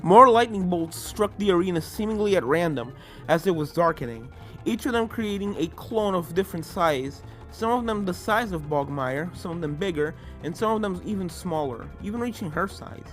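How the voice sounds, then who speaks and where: A fan-fiction reader narrates an adventure horror story with drama. The voice is mid-pitched and sits over video game music. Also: expressive, normal speed, fairly bright tone.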